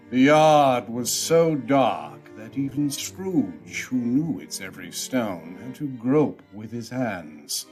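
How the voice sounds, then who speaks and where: A man narrating a Victorian story for a radio play in an unhurried, theatrical storytelling style. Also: mid-range voice. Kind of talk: scripted dramatic narration.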